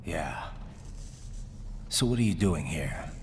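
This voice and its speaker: A man playing a calm, tough American cyborg soldier in a video game cutscene, with a cool, measured delivery. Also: extremely low pitch, big-room sound, slight background noise, full character voice. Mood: guarded, calm.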